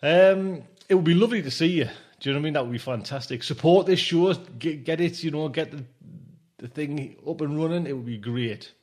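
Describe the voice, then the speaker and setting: A man's voice: a little high, brisk, darkish tone. A man hosting a podcast, chatting casually and effusively, often repeating and restarting his phrases. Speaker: podcast host. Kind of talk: casual, rambling sign-off chat.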